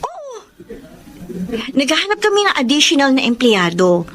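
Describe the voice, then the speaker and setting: An actress with a Filipino accent playing a helpful, persuasive friend in a radio drama. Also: female voice, high-pitched, huge pitch swings, moderate pace, fairly bright tone, a little hiss or hum, exaggerated voice. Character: helpful, persuasive female friend offering a job. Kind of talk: Tagalog radio drama acting.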